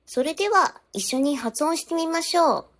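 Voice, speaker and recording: Female language instructor, notably high-pitched, polite and instructional, speaking directly to learners. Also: animated, normal speed, fairly bright tone. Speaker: Japanese teacher. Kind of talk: scripted language lesson to camera.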